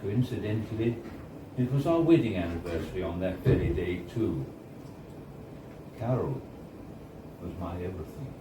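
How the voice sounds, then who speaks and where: A man reading a story aloud live on a bar stage, in a measured, theatrical orator's manner with deliberate pauses. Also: low voice, expressive, distant mic. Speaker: reader of a story. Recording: echoey, noisy bar recording from off.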